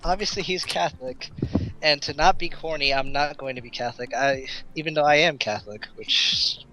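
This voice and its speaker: A man chatting casually as a tabletop game player, flustered and halting. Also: on the high side, over music, rough recording. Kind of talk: casual chat. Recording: faint noise, clicks and typing.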